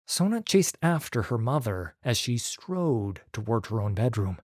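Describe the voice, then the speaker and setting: A man narrating an audiobook story in a measured, expressive way. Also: mid-range voice, no room sound, studio quality.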